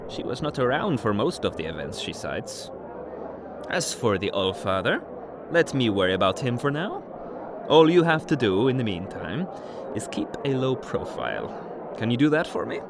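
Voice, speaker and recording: A man's voice: mid-range voice, sing-song, moderate pace, very noisy. An actor playing an assured, persuasive Norse god in an audio drama, firm and reassuring in his delivery. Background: loud music.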